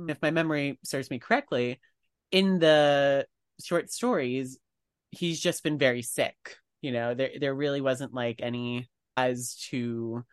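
Male cohost just chatting, medium pitch voice, dry studio-like sound. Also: no echo. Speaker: podcast co-host. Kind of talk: casual discussion.

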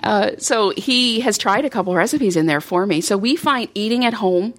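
A woman talking as a guest in a casual podcast chat, telling her story in a chatty, lively way. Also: mid-range voice, normal speed. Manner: chatty, animated storytelling with laughs.

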